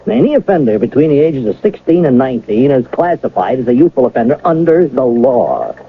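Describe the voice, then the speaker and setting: An actor playing a pragmatic police detective sergeant in a radio drama, explaining things in a halting, hesitant way. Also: male voice, mid-range voice, very animated. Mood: firm, placating. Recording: muffled old radio broadcast, faint noise.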